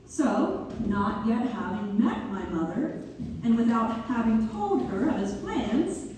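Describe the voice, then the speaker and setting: A woman acting an unhurried, tenderly devoted daughter, echoing. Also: a little high, animated, a little mellow. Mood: fond storytelling. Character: Mabel Wagnalls, devoted daughter and author, reminiscing tenderly. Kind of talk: community theater dramatic read-through. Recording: distant, very echoey hall, faint noise.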